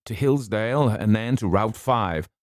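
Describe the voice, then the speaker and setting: A man's voice: lowish voice, unhurried, no echo, studio quality, pitched above the performer's own voice, close to an everyday voice. A man voicing a stern, dignified older doctor who speaks levelly and firmly, in an audiobook reading. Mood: urgent.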